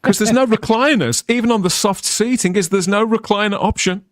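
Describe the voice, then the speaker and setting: A man's voice: high-pitched, brisk, dry recording, studio quality. A middle-aged man with a Birmingham accent hosting a live stream chat in a playful, jokey way. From England. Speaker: host.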